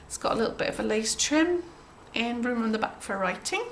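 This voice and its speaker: Croaky woman chatting about her crafts. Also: a little high, animated, brisk, a little hiss or hum. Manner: gentle, chatty, with frequent ums and throat clearing. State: croaky from a cold. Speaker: craft journal maker showing her work. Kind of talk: casual show-and-tell.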